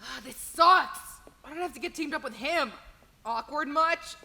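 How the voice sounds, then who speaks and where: A woman performs the inner voice of an exasperated teenage girl in a comic dub. It is high and very sharp, whining and complaining, washed in long reverb. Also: moderate pace, full character voice. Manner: whiny, complaining. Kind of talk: voice acting in a comic fan dub.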